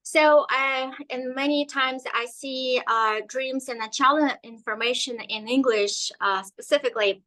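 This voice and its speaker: A woman's voice: sits high, steady tone, measured pace, few pauses, pushed and bright, poor audio. A woman whose first language is Russian, telling her story as a guest with frequent hesitations and fillers. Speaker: guest energy healer. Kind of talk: casual interview storytelling.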